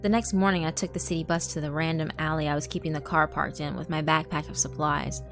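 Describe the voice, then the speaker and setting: A woman narrating a first-person horror story in a measured, dark way, with hushed asides. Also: sits low, fairly even intonation. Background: faint background music.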